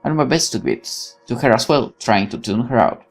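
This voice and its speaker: A woman's voice: a bass voice, fairly quick, creaky, soft background music. A woman reading a visual novel aloud as a let's player, breaking off into candid, opinionated commentary with some swearing. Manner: opinionated, exclamatory, swears freely. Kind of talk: reading game text with candid side commentary.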